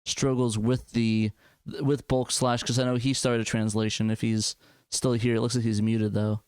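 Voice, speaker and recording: Man, clean smooth tone, fairly even intonation, informal panel talk. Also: medium pitch, moderate pace, no echo, studio quality. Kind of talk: casual panel chat.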